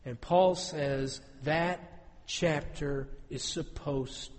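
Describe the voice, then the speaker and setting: Animated high-voiced male preacher in a hall. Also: extremely slow. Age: 30s. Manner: storytelling, animated with rising emphasis. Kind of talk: sermon. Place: auditorium.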